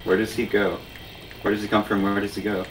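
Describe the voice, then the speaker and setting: A man's voice: fairly low pitch, little pitch movement, fairly quick, echoey room, slight background noise. A man bantering casually and jokingly as he co-hosts a gameplay video. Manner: joking, giggly. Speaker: gaming video co-host and player. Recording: distant mic under game music. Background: video game music.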